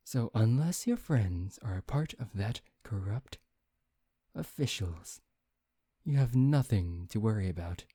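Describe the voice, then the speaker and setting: A man playing a brooding, taunting vampire in an ASMR roleplay, speaking in a theatrical, deliberate, teasing way. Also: fairly low pitch, warm tone, breathy edge, dry recording, clean studio sound. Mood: cool, probing. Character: brooding, taunting male vampire bent on revenge. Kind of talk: ASMR roleplay, soft dramatic acting.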